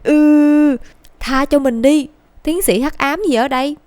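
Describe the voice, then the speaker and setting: A woman's voice: high-pitched, fairly even intonation, unhurried, darkish tone, no room sound. A woman voicing a whiny, skeptical, game-obsessed schoolboy in a cartoon, grumbling and exclaiming in a southern Vietnamese accent. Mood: incredulous. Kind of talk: animated cartoon dialogue. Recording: music clearly behind the voice.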